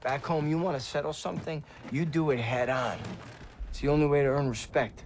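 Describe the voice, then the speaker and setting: A man's voice: a little high, fairly even intonation, brisk, natural voice. A man playing a cocky young private. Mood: stubborn. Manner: cocky and blunt. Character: stubborn young male private, proud and restless. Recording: noisy field ambience.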